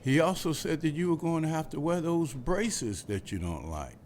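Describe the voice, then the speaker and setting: A man's voice: on the high side, expressive, normal speed, darkish tone, slight background noise, lightly acted. A man reading the part of a caring, dutiful grown son in a table read, in an earnest, reassuring manner. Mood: concerned.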